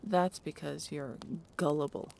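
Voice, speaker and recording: Woman playing a cynical harpy, heavy creak, low voice, sarcastic, distrustful, audio drama, noisy recording. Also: fairly even intonation, measured pace, warm tone. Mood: accusing, skeptical. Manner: curt, sarcastic, swearing. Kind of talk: audio roleplay acting.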